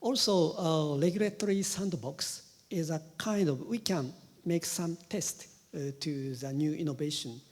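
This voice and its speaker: Halting Japanese-accented man, echoing hall. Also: a little high, a little mellow. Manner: halting, many "uh" pauses. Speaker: government official, panelist. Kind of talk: panel discussion. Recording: reverberant conference hall.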